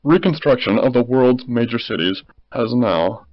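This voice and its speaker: Casting: bumbling, formal TV anchor for a cartoon , male actor, lively intonation, dry muffled sound. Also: medium pitch, normal speed, dry recording, close to an everyday voice. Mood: formal announcing. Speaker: news anchor. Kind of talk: news anchor delivery. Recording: dull, band-limited TV audio.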